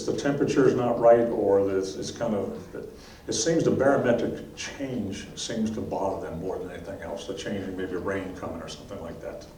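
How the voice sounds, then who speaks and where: A man's voice: lowish voice, darkish tone. A man teaching a beekeeping class, answering questions in a plain, practical way and often checking in with "okay?". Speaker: beekeeping instructor. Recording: distant mic in echoey room with faint noise.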